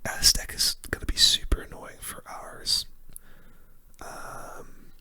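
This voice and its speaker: Asmr gamer whispering right into the mic, super bright airy whisper, chill rambly play-by-play. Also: takes it slowly. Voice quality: soft, breathy unvoiced whisper. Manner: casual, laid-back rambling. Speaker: gaming video creator. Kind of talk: whispered ASMR game commentary.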